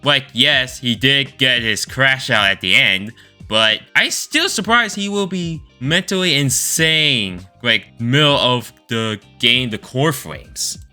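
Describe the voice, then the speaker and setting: A man giving informal, enthusiastic opinion commentary as a video creator, expressive and stretching words for emphasis. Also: mid-range voice, takes it slowly, smooth. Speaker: video creator ranking game stories. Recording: voice over background music.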